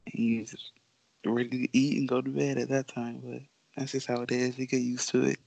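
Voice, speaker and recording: A woman's voice: very deep voice, little pitch movement, brisk, creaky, warm tone, breathy edge, no echo, low-quality recording. A young woman, a professional basketball player from the Bahamas who grew up in Florida, chatting casually and easygoing as a podcast guest. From Bahamas, raised in Florida. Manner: laid-back, easygoing, laughs often.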